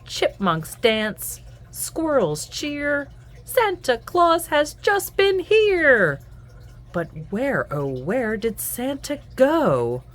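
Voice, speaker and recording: A woman reading a children's picture book aloud in an expressive, singsong storytelling manner. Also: high voice. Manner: animated, singsong, deliberate storytelling. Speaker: children's book reader and host.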